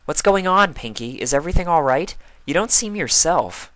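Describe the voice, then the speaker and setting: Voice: mid-range voice, expressive, normal speed, fairly bright tone, no echo, voice pushed up, natural voice. A storyteller voicing an earnest, concerned young female pony who gets pleading.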